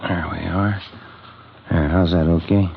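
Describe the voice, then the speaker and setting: A man acting as a steady, obliging police detective in a 1950s radio drama, plain and matter-of-fact. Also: low voice, measured pace, gravelly. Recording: muffled, hissy vintage radio recording.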